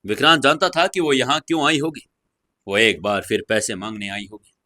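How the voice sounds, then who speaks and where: A man's voice: medium pitch, brisk, bright, forceful tone, no echo. A man reading a scripted romantic serial as a storyteller, with dramatized delivery. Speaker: audio story narrator.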